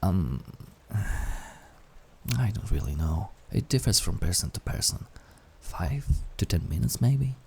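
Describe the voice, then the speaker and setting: Casting: male actor as intimate incubus demon for roleplay audio, dark mellow tone, gravelly and breathy. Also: low voice, big pitch swings, takes it slowly, full character voice. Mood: gentle, awkward, soothing. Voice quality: husky, breathy, often dropping to whisper. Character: incubus sleep paralysis demon, menacing turned awkwardly tender.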